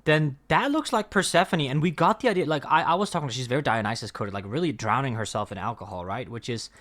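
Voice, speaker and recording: A man with a mid-range voice and a Danish first language talks through a music reaction. He rambles, restarts sentences and leans on fillers as he thinks out loud. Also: medium pitch, lively intonation, quick pace. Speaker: reaction video host. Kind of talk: casual music reaction commentary.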